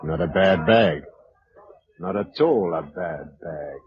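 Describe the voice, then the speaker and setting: A man's voice: sits low, expressive, slow, exaggerated voice. An actor playing a cool, commanding male ringleader giving clipped orders in a radio drama. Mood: smugly satisfied. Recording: dull, noisy radio recording.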